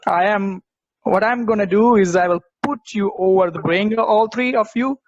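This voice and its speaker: A man's voice: sits high, moderate pace, no room sound, lightly acted. A man playing a stern, officious police officer in an amateur play reading. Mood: stern, unyielding. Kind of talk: amateur play table read. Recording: dry, dull lo-fi audio.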